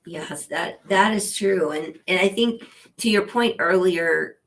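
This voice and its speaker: Low-pitched woman interviewer. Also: takes it slowly, a little hiss or hum. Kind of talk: conversational interview.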